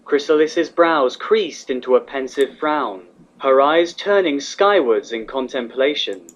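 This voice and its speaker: A woman reading fan fiction as the narrator, in an expressive scripted storytelling style. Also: rumbling low, measured pace. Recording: slightly dull, muffled audio.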